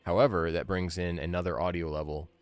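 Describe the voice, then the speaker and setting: Low-voiced man doing casual game commentary. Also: fairly even intonation, a little fast. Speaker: streamer and gaming commentator. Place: small indoor room, livestream setup. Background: game music.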